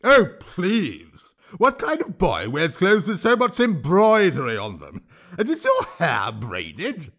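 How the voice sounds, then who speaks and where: A man voicing a boastful, bigoted male troll minister who jeers and shouts over a crowd, his pitch pushed up and his timbre changed. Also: wildly swooping pitch, slow pace, exaggerated voice. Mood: mocking.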